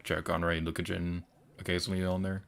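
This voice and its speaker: A soft-spoken young man streaming and chatting casually, hesitant, with many pauses and ums. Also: sits low, flat delivery, gravelly, slight background noise.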